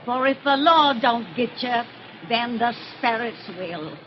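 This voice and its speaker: A woman's voice: a little high, expressive, measured pace. A woman playing a gleeful, macabre witch over a hundred years old, cackling and folksy, hosting old-time radio horror tales. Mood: sly folksy. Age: very old, as played. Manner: folksy rustic grammar, relishing the macabre. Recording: muffled, hissy vintage radio recording.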